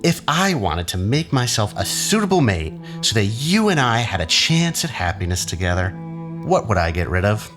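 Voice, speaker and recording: Low, swooping, sardonic man playing an arrogant schemer. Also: sing-song, normal speed, music in the background. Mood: taunting, intense. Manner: sardonic, theatrical, probing with rhetorical questions. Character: arrogant, menacing scientist, cynical and taunting man. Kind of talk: audio drama acting.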